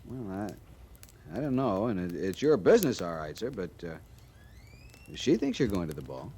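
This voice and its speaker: An actor playing an awkward, polite young cavalry lieutenant in a radio western, speaking hesitantly and earnestly. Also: male voice, fairly low pitch, big pitch swings, normal speed, warm tone. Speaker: junior cavalry officer. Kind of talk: old-time radio western acting. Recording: noisy old radio recording.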